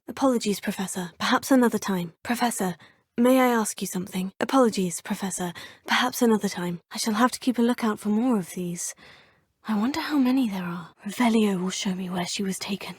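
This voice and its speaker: An inquisitive young witch, formal and polite, breathy tone, close dry recording. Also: fairly high pitch, a little mellow, no echo. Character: polite curious young female witch student. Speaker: player character. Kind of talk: video game dialogue lines.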